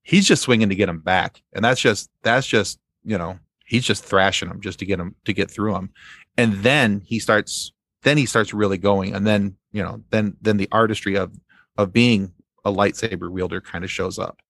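A man's voice: fairly low pitch, moderate pace, vocal fry, fairly bright tone, no echo, studio quality. A man speaking as a podcast guest in casual fan commentary, often repeating phrases as he works out a thought. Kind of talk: casual chat, pop-culture commentary.

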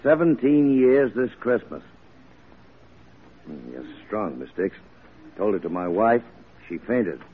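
Low, rough, callous jailer. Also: male voice, very animated, takes it slowly, long pauses, heavily acted. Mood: grim, taunting. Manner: brusque, dismissive. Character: callous, impatient male jailer. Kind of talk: 1940s radio acting. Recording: muffled vintage radio broadcast recording.

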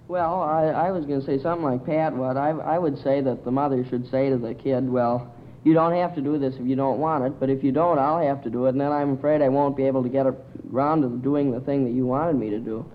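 A man's voice: mid-range voice, fairly even intonation, fairly quick, slight background noise. A male quiz panelist answering earnestly and precisely, hesitating as he thinks aloud. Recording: tinny, narrow old radio recording.

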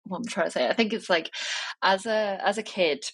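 A woman's voice: medium pitch, expressive, a little fast, breathy edge, no room sound. A young woman from Wales, talking reflectively as an interview guest, with many fillers and restarts. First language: Cantonese.

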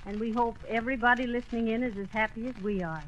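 An actress playing a brisk, sharp-tongued middle-aged wife in an old radio comedy, with a crisp, scolding way of talking. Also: female voice, fairly high pitch, steady tone, lightly acted, low-quality recording. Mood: warm. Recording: old radio broadcast with loud hiss.

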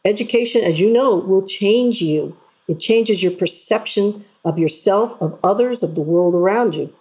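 Woman guest talking earnestly, husky, mellow kind of voice. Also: medium pitch, moderate pace, poor audio. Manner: earnest, animated storytelling with acted-out voices. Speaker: interview guest. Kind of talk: casual interview chat.

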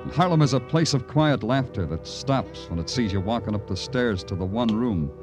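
An actor playing a weary, sardonic but compassionate police lieutenant in an old-time radio crime drama, with a wry, clipped manner. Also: male voice, mid-range voice, expressive, moderate pace, close to an everyday voice. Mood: brooding. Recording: vintage radio drama with music.

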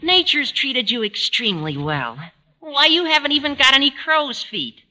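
An actor playing a gawky, eager teenage schoolboy in an earnest, wheedling 1940s radio comedy style. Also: male voice, very high-pitched, big pitch swings, moderate pace, bright, forceful tone, full character voice. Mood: awkwardly flattering. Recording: faintly noisy, clicking 1940s radio recording.

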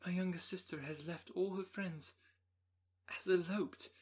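A man voicing a distraught, impulsive young woman in an audiobook, speaking in a high, breathless, pleading way. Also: moderate pace, breathy edge.

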